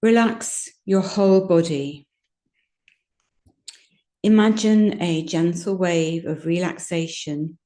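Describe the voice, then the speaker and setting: A woman teaching yoga nidra, guiding a relaxation meditation in a gentle, soothing, unhurried manner with long pauses. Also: fairly low pitch. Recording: roomy, echoing sound.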